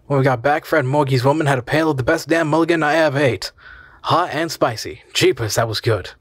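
A man's voice: fairly high pitch, brisk, dry recording, pitched above the performer's own voice, close to an everyday voice. Folksy, soothing husband, voiced by the male narrator, dry and close. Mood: cheerful.

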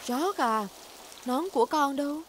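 A woman voicing a gentle, patient mother pig in a cartoon dub, with a motherly manner. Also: high-pitched, unhurried. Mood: sympathetic. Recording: loud rain and effects behind.

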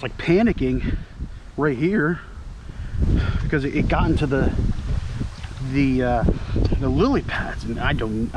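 A man's voice: mid-range voice, very noisy. A man filming himself fishing from a kayak on a pond, exclaiming and talking to the fish as he lands it, recorded outdoors on a head-mounted camera. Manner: breathless exclamations, talks to the fish. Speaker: fishing vlogger. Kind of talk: excited self-filmed fishing commentary. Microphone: head-mounted action camera.

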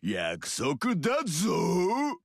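A man voicing a huge ox-headed monster with a boyish, whiny, excitable way of talking, in video game dialogue. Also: high voice, drawn-out words, creaky, no echo, studio quality, heavily acted. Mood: overjoyed. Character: huge male ox-headed monster, childlike and eager.

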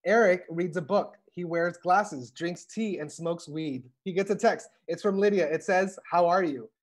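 High-pitched man reading aloud on a call. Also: moderate pace. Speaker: host and stage-direction reader. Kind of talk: table read narration and casual chat. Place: indoor room on a video call. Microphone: wired earbuds on a video call.

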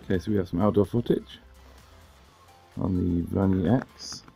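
A man in his thirties with a chesty, hoarse cold voice, casually reviewing a gadget with plenty of fillers and restarts. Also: low-pitched, normal speed, faint music behind. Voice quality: gravelly. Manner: relaxed, plain talk with frequent fillers and restarts. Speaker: tech reviewer.

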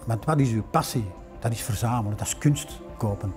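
A man in his fifties with a Flemish accent, a museum director recounting a collector's history in a documentary interview, unhurried and storytelling, with occasional "eh" fillers, on a lapel mic in a library room. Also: mid-range voice, music in the background.

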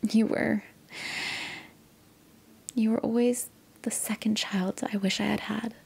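A woman playing a doting middle-aged mother in an intimate ASMR roleplay, speaking in a breathy, often near-whispered voice with an affectionate, hesitant manner. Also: fairly high pitch, flat delivery, exaggerated voice. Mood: tender, nostalgic. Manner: unhurried, affectionate, hesitant, with soft sighs and chuckles. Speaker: audio roleplay performer.

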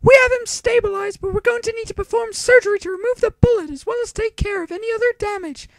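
Man putting on a shrill falsetto woman doctor. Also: quick pace, creaky, dry recording. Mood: businesslike. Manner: urgent, formal. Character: hurried female hospital doctor, businesslike and reassuring.

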